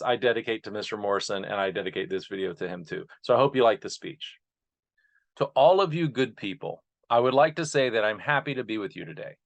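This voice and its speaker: A man's voice: mid-range voice, creaky. A man speaking earnestly as host and narrator, presenting material to his listeners. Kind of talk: informal introduction, then reading a speech aloud.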